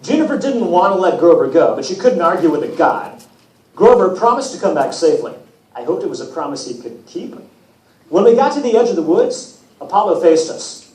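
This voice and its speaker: An echoey, distant recording of a man reading as a wry teenage boy demigod telling his story. The voice is fairly high and swings widely in pitch, with self-deprecating, put-upon humour. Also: big pitch swings, fairly quick, darkish tone. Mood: uneasy. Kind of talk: first-person storybook reading. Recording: echoey room from far off, hissy noise.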